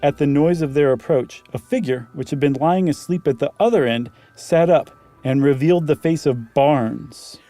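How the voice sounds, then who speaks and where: A male podcast co-host with a dark, mellow tone that sits a little high for a man. He moves between reading a spooky story and relaxed chat. Faint music runs underneath. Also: normal speed, hardly any pauses.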